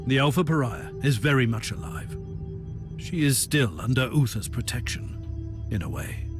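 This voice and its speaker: An actor playing a zealous, imperious Inquisitor in a video game, dramatic and grave, with theatrical delivery. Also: male voice, mid-range voice, lively intonation, moderate pace, over music, lightly acted. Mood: solemn. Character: commanding, zealous male Imperial Inquisitor with psychic powers.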